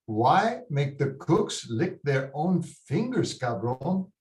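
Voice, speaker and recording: An exasperated Mexican patriarch, acted by a man in a staged play read, bossy yet doting. The voice sits fairly high and swings widely at a slow pace, mixing Spanish and curses into a roomy video-call sound. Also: big pitch swings, darkish tone. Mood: bossy, irritated. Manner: exasperated, code-switching into Spanish, swearing. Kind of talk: table read of a comic Shakespearean-style play. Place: indoor room, on a video call.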